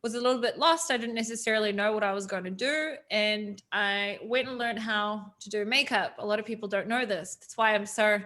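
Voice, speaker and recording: A woman's voice: on the high side, fairly bright tone. A woman, chatting casually as an interview guest with frequent ums. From New Zealand.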